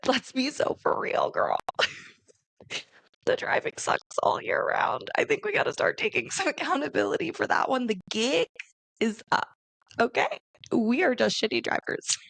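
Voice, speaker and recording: A raspy young woman from Arizona hosting a casual solo podcast, chatty and laughing often as she talks to her listeners. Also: mid-range voice, fairly bright tone, no room sound. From Arizona, USA. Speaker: podcast host.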